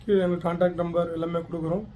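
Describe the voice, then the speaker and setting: Male demonstrator, notably high pitch, plain instructional delivery, faint background noise. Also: level tone, rapid-fire, warm tone. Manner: plain step-by-step explaining with some English technical words. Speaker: product demonstrator for a heater supplier.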